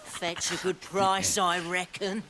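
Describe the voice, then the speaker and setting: An actor playing a greedy, menacing bandit in a video game. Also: male voice, high voice, little pitch movement, slow pace, noisy background. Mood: gloating.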